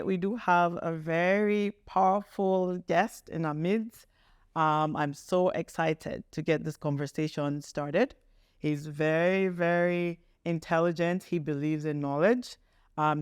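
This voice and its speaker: Woman podcast host, smooth clear voice. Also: low-pitched, steady tone, slow, a little mellow, no echo, studio quality. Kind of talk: podcast interview hosting.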